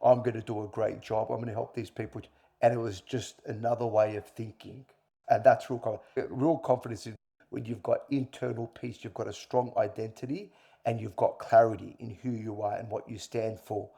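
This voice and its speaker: A man's voice: lowish voice, steady tone, normal speed, creaky, dark tone. A middle-aged man answering interview questions earnestly, explaining with examples and repeating points for emphasis. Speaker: interview guest, coach.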